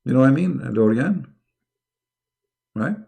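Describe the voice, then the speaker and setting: A man's voice: medium pitch, animated, unhurried, gravelly, warm tone. A middle-aged man who speaks English as a second language hosts a live online debate in a mocking, emphatic, sarcastic way. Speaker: debate host.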